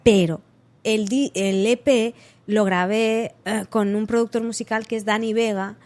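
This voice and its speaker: A woman's voice: medium pitch, unhurried. A woman from Catalonia with a Spanish accent, a singer chatting as a podcast guest, telling stories animatedly and acting out what people said, with frequent restarts. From Catalonia, Spain. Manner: lively storyteller, acts out quotes, frequent restarts and laughter. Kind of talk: casual interview chat.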